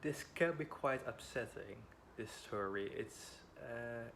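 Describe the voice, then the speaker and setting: A man's voice: medium pitch, slow pace, creaky, a bit bright. A man in his twenties from the Netherlands, speaking English with a Dutch accent, Dutch being his first language. He tells a personal story at home as a vlogger, a bit nervous, hesitant and apologetic with frequent ums. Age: 20s. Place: home beside a busy road. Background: faint wind and passing traffic.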